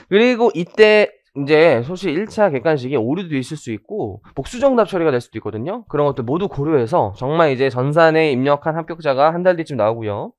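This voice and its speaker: A man with a slightly high, lively voice that swings up and down as he talks. He is hoarse and lectures casually, with fillers and jokes. The sound is dry and close. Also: no room sound. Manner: chatty, joking asides, many fillers. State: hoarse from rhinitis. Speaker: tax law lecturer.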